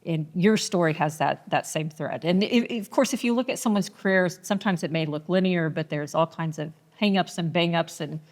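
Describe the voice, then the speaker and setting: A woman's voice: lowish voice, a little fast, few pauses. A woman hosting a podcast interview, asking her questions in a conversational way with long, looping lead-ins. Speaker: podcast host. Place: large room in a private car collection. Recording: long hall reverb.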